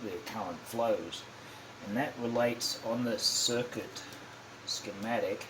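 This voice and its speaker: A man giving a casual, unscripted hands-on demonstration, halting and thinking aloud as he goes, often correcting himself. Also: fairly low pitch. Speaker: hobbyist demonstrating a kit build. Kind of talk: casual unscripted how-to narration. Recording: clearly noisy amateur home recording.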